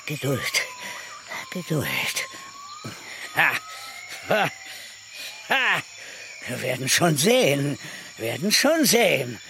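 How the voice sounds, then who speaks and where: Slow, bitter muttering man. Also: fairly high pitch, very animated, gravelly, sharp tone, slight background noise, full character voice. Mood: scheming. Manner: muttering, obsessively repeating himself, cackling. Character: bitter, resentful, scheming man muttering to himself. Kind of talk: radio drama monologue.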